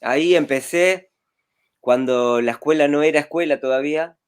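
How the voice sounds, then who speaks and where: A man's voice: a little high, normal speed, smooth. An adult man from Rosario, a street clown talking casually as a guest over a video call, chuckling often. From Rosario, Argentina. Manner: relaxed, chuckles often, rambling.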